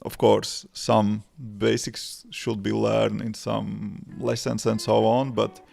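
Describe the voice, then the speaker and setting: A man in his thirties with a Latvian accent, a developer chatting casually on a live stream in simple, halting English with many restarts. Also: lowish voice, flat delivery, gravelly, darkish tone. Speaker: livestreaming developer answering chat.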